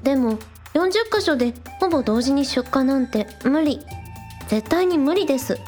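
女性が演じる高く抑揚豊かな元気な巫女アイドル. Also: female voice, sits high, expressive, moderate pace, darkish tone, faint music behind. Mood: earnest. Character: spirited, earnest young shrine maiden, village idol. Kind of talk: radio drama dialogue.

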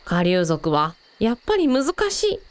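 A woman playing an affectionate, perceptive mother with a gentle, expressive manner in a Japanese-style radio drama. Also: lowish voice, measured pace, smooth, no room sound.

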